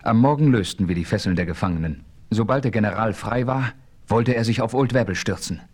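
An actor playing a composed frontier adventurer who narrates his exploits gravely in a German radio play. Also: fairly low pitch, a little mellow, soft background music, close to an everyday voice. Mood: measured, serious. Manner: grave, measured storytelling. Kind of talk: dramatic first-person radio play narration.

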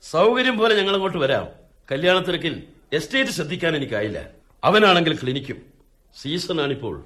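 A pompous older gentleman in a radio play. He is domineering under a genial surface, swoops in pitch on a fairly high male voice and peppers his talk with English phrases. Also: lively intonation, brisk. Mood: falsely genial. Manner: pompous, smooth-talking, drops in English phrases. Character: domineering, falsely genial older pipe-smoking father-in-law. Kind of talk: radio drama acting.

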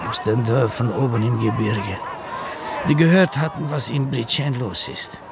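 An actor playing an agitated witness recalling persecution in a radio play, with a gravelly voice. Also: male voice, medium pitch, measured pace, background noise, close to an everyday voice. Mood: agitated, bitter.

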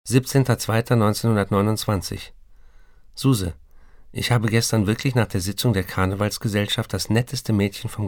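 An actor playing a dreamy writer and morphine addict in a radio play, confiding in a savouring, intimate way. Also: male voice, lowish voice, a little mellow, no echo, natural voice. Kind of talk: literary radio play monologue. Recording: studio voice with music behind. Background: music.

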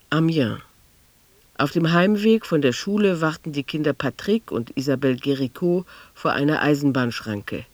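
A man with a lightly raised pitch narrates a radio drama scene, read by an actor. His phrasing is measured and precise, recorded dry and close. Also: darkish tone, no room sound, close to an everyday voice.

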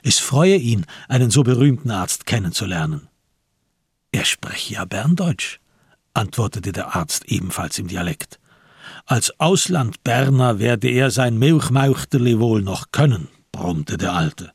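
Male narrator for a radio play, really husky n low, reading literary prose, dry studio sound. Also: big pitch swings, unhurried, dry recording.

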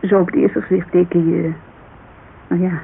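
Hesitant Dutch woman, creaky voice, thirties. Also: lowish voice, flat delivery, normal speed. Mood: reflective, candid. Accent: Dutch, Netherlands. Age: 30s. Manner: hesitant, colloquial, searching for words. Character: thirty-three-year-old Amsterdam model agency owner, guarded, wistful, candid. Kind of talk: naturalistic radio drama dialogue. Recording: narrow, hissy old radio recording.

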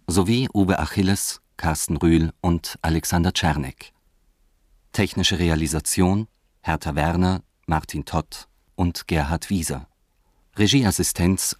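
A deep male voice reads scripted credits slowly and formally. The announcer is measured, recorded close and dry with no room sound. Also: darkish tone, dry recording.

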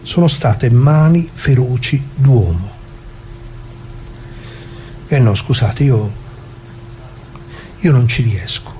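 Mellow older working-class man played by an actor. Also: mid-range voice, lively intonation, slight background noise. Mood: indignant, then anguished disbelief. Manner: colloquial, halting, directly addressing listeners. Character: elderly working-class man from Brescia, plain-spoken bombing survivor. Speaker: actor in a radio monologue. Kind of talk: conversational dramatic monologue.

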